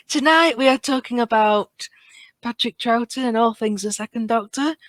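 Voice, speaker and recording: A woman's voice: fairly high pitch, moderate pace, a bit bright, no echo. A woman chatting casually and enthusiastically as a guest on a fan podcast.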